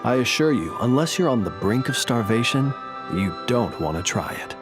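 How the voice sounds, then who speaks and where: A gentle, modest gentleman from a video game, played by a male actor. His mid-pitched voice is polite and measured, with wry understatement. Music is clearly heard behind him. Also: big pitch swings, natural voice. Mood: wryly amused. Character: courteous, gentle male lantern bearer, modest and wryly humorous. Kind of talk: video game character dialogue, storytelling.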